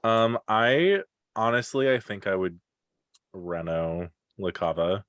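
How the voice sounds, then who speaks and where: Man, very low voice, dry close sound, relaxed podcast host. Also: clean tone, dry recording. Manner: leads the list, relaxed and a little halting. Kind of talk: casual chat.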